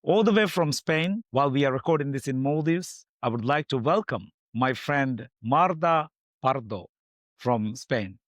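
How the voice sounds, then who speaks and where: A man's voice: mid-range voice, animated, measured pace, no echo, studio quality. A man hosting a podcast interview, asking friendly, brief questions. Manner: friendly, brief prompts, non-native English phrasing. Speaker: podcast host.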